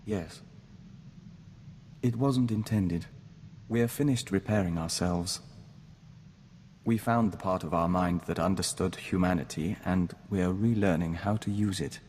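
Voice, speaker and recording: An actor playing a gentle, earnest man joined to an insect hive mind, measured and formal in scripted game dialogue. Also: low-pitched. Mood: soft, sincere. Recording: noisy game capture with long reverb.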